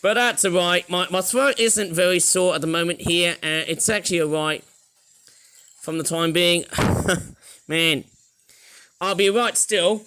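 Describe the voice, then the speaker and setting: British male toy reviewer, high croaky voice, rambly and enthusiastic, lots of "uh"s. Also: a little slow, long pauses, pushed and bright. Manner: rambling, enthusiastic, many hesitations.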